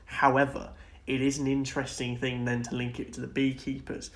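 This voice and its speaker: A man's voice: medium pitch, monotone, fairly quick. A man co-hosting a casual film and TV discussion podcast, speaking in an agreeable, informal way. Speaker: podcast co-host.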